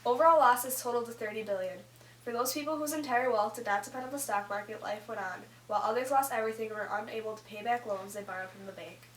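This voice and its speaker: A woman playing a brisk 1920s news co-anchor in a scripted amateur newscast. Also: fairly high pitch, natural voice. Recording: roomy distant mic, noisy hum.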